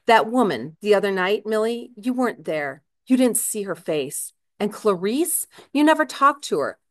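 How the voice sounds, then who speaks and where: A woman's voice: medium pitch, very animated, normal speed, natural voice. A woman voicing a desperate, agitated husband in a novel reading, speaking with urgent, earnest pleading. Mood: troubled, earnest.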